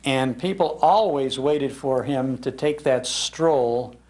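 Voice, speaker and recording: A man's voice: medium pitch, slow, a little mellow, slight background noise. An older man giving an informal, conversational talk to an audience, explaining as he goes. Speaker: lecturer on old radio, host. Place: public library talk.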